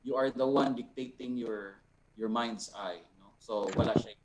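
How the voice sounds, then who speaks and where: Male, Filipino accent, middle register. Art teacher answering learners, deliberate and explanatory. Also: medium pitch, very noisy. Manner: explanatory, deliberate, often tags phrases with "no?". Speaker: art teacher and resource speaker. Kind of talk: unscripted teaching, answering participants' questions.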